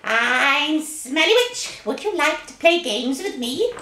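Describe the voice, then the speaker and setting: A woman's voice: high-pitched, big pitch swings, takes it slowly, bright, forceful tone, exaggerated voice. A woman voicing a gleeful, mischievous witch for children, cackling and teasing in a singsong way. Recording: echoey room with faint noise.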